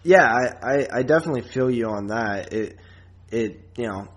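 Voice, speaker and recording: A man podcast host giving a casual film review in informal, slangy talk, often halting and circling back over his phrases. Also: medium pitch, expressive.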